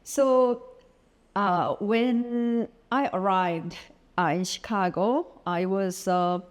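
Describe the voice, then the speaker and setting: A middle-aged woman from South Korea, whose first language is Korean, talks as a guest in a home interview. She speaks with halting pauses and many fillers. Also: mid-range voice. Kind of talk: casual interview. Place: home studio.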